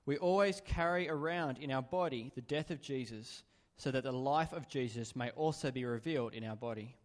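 A man reading a Bible passage aloud in a church, steady and even-paced. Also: medium pitch, moderate pace. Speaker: scripture reader. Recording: long hall reverb in church.

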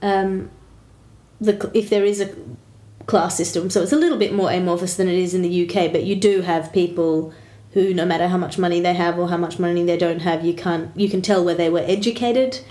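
A woman's voice: on the low side, fairly even intonation, a little fast, mellow. A woman with an Australian accent in casual chat, talking through her points thoughtfully and qualifying them as she goes. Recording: roomy echo.

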